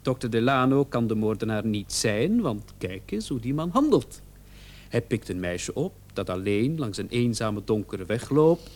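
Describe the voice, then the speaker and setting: Man acting a police officer, mid-pitched voice, shrewd, persistent, measured, insinuating, radio drama interrogation. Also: animated, darkish tone, close to an everyday voice. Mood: probing, pointed.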